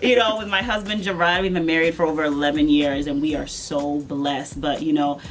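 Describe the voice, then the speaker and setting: A woman's voice: low-pitched, fairly even intonation, brisk, music in the background. A middle-aged woman from Nigeria and California, an interview guest earnestly telling a personal story in conversation, repeating words for emphasis.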